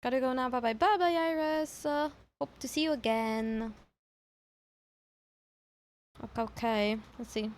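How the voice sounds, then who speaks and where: A woman's voice: fairly high pitch, a little slow, long pauses, a little hiss or hum. A woman chatting casually on a livestream, hesitant and thinking aloud as she repeats herself. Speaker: streamer reacting to horror videos.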